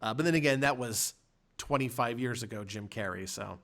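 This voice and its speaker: A male podcast host with a middle-pitched voice talks through film scenes casually. His delivery is halting and rambling, stopping and starting as he thinks through each point. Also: a bit bright. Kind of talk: casual film discussion, recapping and reviewing scenes.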